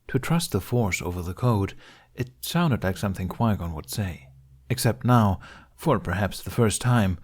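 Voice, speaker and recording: A man's voice: on the low side, darkish tone. A man reading scripted fiction aloud as an audiobook narrator.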